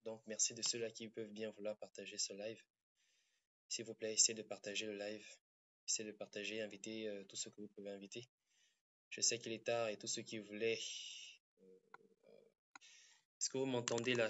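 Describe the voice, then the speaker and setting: A man who has a cold, hosting a social media live stream in a halting, repetitive, apologetic way. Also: on the low side, fairly bright tone, highs cut off.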